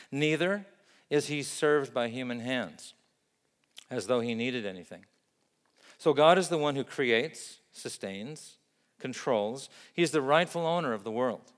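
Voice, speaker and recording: A man who grew up in Vietnam, preaching a sermon in an earnest, measured way and building up emphatic lists. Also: mid-range voice, long reverb tail. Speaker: pastor.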